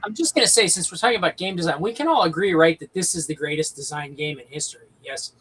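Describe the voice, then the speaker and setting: A man's voice: a little high, animated, quick pace, a bit bright. A man in his early fifties chatting casually as a game designer on a livestream panel. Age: early 50s. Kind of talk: casual chat. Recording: clear background noise.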